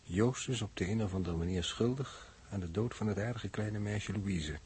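A sceptical, pedantic man in a 1960s radio drama. His voice is fairly low and very husky. He delivers judgements in formal, deliberate phrases. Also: a little mellow. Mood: judging. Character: sceptical, pedantic male observer passing judgement.

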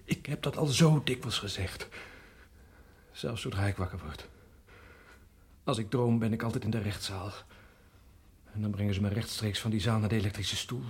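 An actor playing an anguished, weary condemned prisoner in a radio drama, with a husky, breathy voice. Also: male voice, lowish voice, moderate pace, pauses often. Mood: subdued, haunted. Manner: subdued, brooding, weary. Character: anguished, haunted condemned male prisoner protesting innocence.

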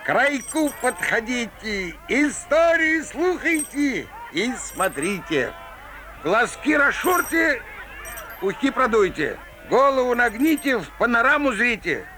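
A man playing a theatrical fairground peepshow barker, telling rhymed folk tales in a playful, drawn-out sing-song declamation. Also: very high-pitched, big pitch swings, pushed and bright, heavily acted. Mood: showy, playful. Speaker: narrator, fairground showman. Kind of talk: rhymed Russian folk-tale showman patter.

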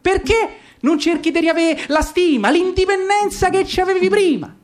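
A man's voice: very high voice, moderate pace, pitched above the performer's own voice. A man voicing a cheeky, vain circus monkey in radio theatre, insistent and needling, with a Roman accent. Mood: goading, mocking.

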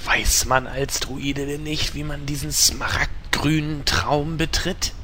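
A skeptical guild member, played by a man drags out each doubtful, half-whispered line. His voice sounds bright and very slow in this amateur audio drama. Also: fairly high pitch, steady tone, slight background noise.

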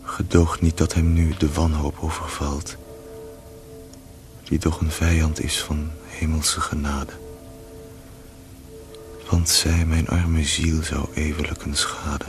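An actor playing a worn-out dying man who prays slowly and resignedly for mercy in a radio play. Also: a bass voice, little pitch movement, exaggerated voice. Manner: slow, resigned, devout. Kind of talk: solemn prayer in verse in a radio play. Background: music.

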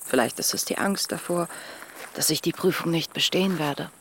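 Radio play confider: high male voice, self-doubting, earnest, articulate. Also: moderate pace, fairly bright tone, a little hiss or hum. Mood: anxious, searching. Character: troubled, self-questioning intellectual confiding guilt in a mentor.

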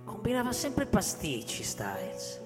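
Old caretaker, radio drama, suspicious, halting, repetitive, very animated pitch, heavy reverb. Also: male voice, exaggerated voice. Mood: wistful. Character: old school caretaker, suspicious, gossipy and insistent. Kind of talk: Italian radio drama acting. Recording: long reverb, loud music underneath. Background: loud music.

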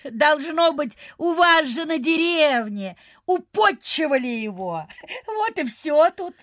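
A genteel provincial lady in Soviet-style radio theatre. She speaks slowly in a high voice, mannered and insistent, with a dry, close sound. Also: lively intonation, fairly bright tone, dry recording, exaggerated voice. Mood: scolding, dismissive. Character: genteel, wheedling, sensible lady house guest in provincial Russia.

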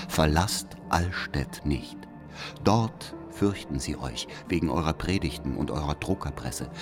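An actor playing a secretive informant who reads out a warning letter in a solemn, deliberate, formal way for a radio drama. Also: male voice, very deep voice, exaggerated voice. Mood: urgent, solemn. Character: secretive male informant reading his urgent warning letter. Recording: music behind the voice.

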